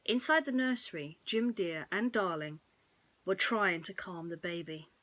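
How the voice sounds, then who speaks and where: Female bedtime storyteller, unhurried and hushed, with a bright edge to the tone. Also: lowish voice. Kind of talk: soothing bedtime story narration.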